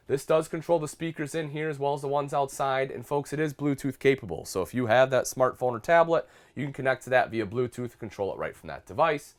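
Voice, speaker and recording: A congested man with a head cold, a product specialist giving a friendly walkthrough tour inside a travel trailer and calling his viewers folks. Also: medium pitch.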